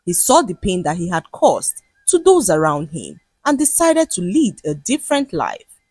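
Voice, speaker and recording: Female folktale narrator, lowish pitch, slightly husky, dramatic delivery. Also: moderate pace, dry recording. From Nigeria. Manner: dramatic, expressive storytelling. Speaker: storyteller. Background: music.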